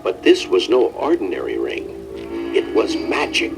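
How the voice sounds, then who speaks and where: Animated male storybook narrator. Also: sits low, normal speed, gravelly. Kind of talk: dramatic scripted children's narration. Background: faint background music.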